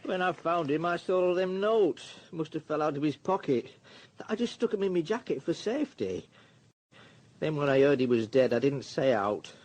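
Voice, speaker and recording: A man's voice: fairly high pitch, animated, normal speed, a little mellow, close to an everyday voice, poor audio. An actor playing a simple, anxious working-class man in a radio drama, speaking colloquially and sheepishly. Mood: sheepish, worried. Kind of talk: naturalistic radio drama acting.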